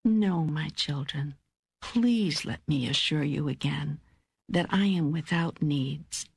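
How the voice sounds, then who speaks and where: Unhurried, slightly husky woman as serene God. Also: deep voice, exaggerated voice. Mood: serene, assured. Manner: measured, deliberate, emphatic pauses. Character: God, a serene, patient, all-knowing teacher. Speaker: audiobook narrator. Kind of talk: scripted audiobook reading of spiritual dialogue.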